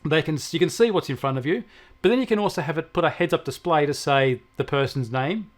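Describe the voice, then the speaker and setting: A man's voice: on the high side, fast talker. An Australian man explaining technology in a conversational way, using everyday examples. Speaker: technology expert guest answering questions.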